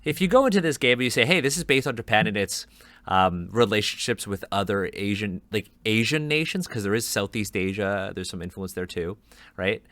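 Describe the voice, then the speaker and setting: A man co-hosting a podcast discussion, talkative and quick to laugh and joke. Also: on the low side, animated, a bit bright, no echo. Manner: talkative, laughs often, jokey asides. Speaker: podcast co-host. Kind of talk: casual panel discussion.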